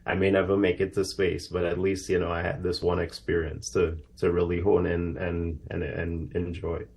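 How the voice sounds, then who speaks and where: A man's voice: sits low, level tone, moderate pace, vocal fry, a little mellow. A man from Trinidad and Tobago, a guest in a live TV interview who answers earnestly and reflectively, with plenty of fillers. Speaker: aerospace professional guest.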